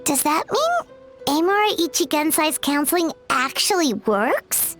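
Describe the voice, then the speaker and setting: A tiny fairy sidekick with an extremely high, squeaky, childlike voice. Her delivery swings around excitedly, quick to indignation, in the exclamatory manner of a video game companion. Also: over music. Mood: bewildered. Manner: refers to self in third person, exclamatory. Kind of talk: video game character acting.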